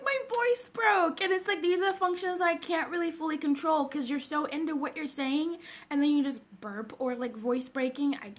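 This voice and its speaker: A woman from Zambia chatting casually on a livestream, greeting viewers by name and laughing often. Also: high-pitched. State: just woke up. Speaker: livestream host. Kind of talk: casual live-stream chat with viewers.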